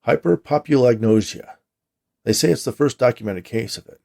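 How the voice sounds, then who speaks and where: Man reading as matter-of-fact veteran minder, gravelly. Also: medium pitch, animated, normal speed, darkish tone. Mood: matter-of-fact explaining. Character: matter-of-fact veteran watcher training a new hire.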